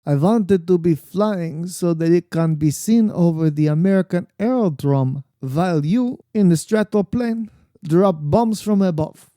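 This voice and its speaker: A cold, scheming villain, played by a man, in a radio serial. The voice is dark and mellow, with a deliberate, menacing way of giving orders. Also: high-pitched, no room sound, clean studio sound. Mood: scheming, commanding. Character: cold, scheming male German spy ringleader, a villain. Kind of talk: 1940s-style radio serial villain acting.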